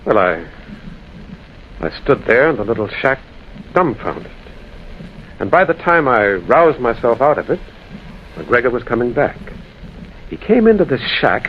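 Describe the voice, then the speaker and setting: Railwayman storyteller, 1940s radio style: mid-pitched, very expressive, dramatic and urgent, dull old recording. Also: slight background noise. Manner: dramatic, deliberate, urgent questioning. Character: concerned railwayman recounting a ghostly encounter. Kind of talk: 1940s radio acting, dramatic first-person narration.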